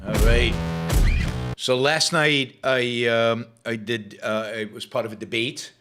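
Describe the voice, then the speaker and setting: A man hosting his talk show, hoarse from losing his voice, rambling with many fillers and restarts. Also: medium pitch, fairly even intonation, takes it slowly, music in the background. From Israel. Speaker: show host. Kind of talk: casual livestream talk.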